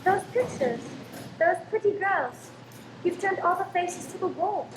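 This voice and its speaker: A woman playing a high-voiced anxious landlady. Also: lively intonation, a little slow. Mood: uneasy. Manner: fussing, polite, hesitant. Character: fussy, anxious, deferential landlady and wife. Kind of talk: radio play acting. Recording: echoey room, faint noise.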